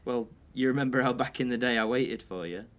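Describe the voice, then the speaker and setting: Young man, British accent, medium pitch, acted devoted boyfriend, earnest, hesitant, reassuring, audio drama. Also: brisk, a bit bright, close to an everyday voice. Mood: teasing. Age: young adult.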